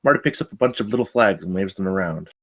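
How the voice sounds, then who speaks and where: Guy narrating screenplay directions, quick pace, lowish voice. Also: expressive. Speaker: narrator reading stage directions. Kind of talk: scripted narration at a table read.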